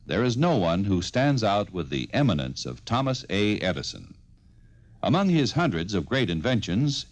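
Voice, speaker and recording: Low-voiced American radio narrator, very dry sound. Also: male voice, no echo. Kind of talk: scripted narration, 1950s radio.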